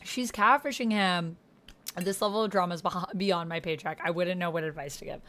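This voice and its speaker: Lower-voiced woman chatting casually over a game. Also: brisk, fairly bright tone. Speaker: host playing a text-based roleplaying game. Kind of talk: casual chat while playing along with typed roleplay.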